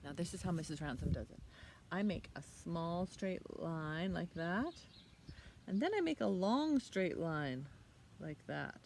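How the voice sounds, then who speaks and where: A middle-aged woman hosting children's storytime outdoors, speaking simply and expressively to young children. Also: low-pitched. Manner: expressive, simple child-directed talk with questions. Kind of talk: picture book reading and children's lesson. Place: outdoors by a leafy hedge. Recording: outdoor recording with faint noise.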